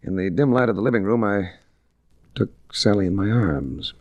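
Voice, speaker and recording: Hard-boiled radio investigator: lowish pitch with wide swings, cool, blunt, clipped, vintage 1940s recording. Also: male voice, normal speed, dry recording, dull audio. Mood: grim narrating. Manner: clipped, deadpan, terse. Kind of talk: 1940s radio detective drama acting.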